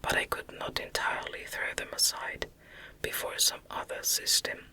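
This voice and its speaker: Woman whispering softly, breathy and sibilant-bright. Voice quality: soft, breathy, unvoiced whisper. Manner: hushed, measured literary reading. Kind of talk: whispered ASMR audiobook narration of a classic novel.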